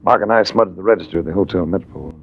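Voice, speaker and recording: A man's voice: deep voice, very fast, gravelly, no room sound. A man playing a wry, self-assured hardboiled investigator in 1940s radio drama style, narrating and talking. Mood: wry narration. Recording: tinny, noisy vintage radio recording.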